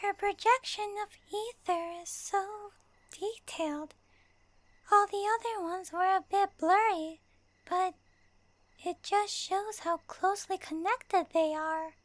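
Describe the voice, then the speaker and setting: A woman voicing a small, childlike fairy companion with a squeaky, exclaiming manner in a fan audio roleplay of a game. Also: steady tone, slow pace, no echo. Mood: curious, observant. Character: small childlike floating fairy companion, chirpy, speaks in third person.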